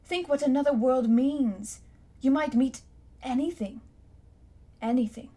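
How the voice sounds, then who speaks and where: A woman's voice: sits high, measured pace, dark tone, slightly rough. A woman doing a pompous old magician uncle. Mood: haughty. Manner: pompous, lofty, blustering. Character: pompous elderly male magician uncle, cruel and haughty.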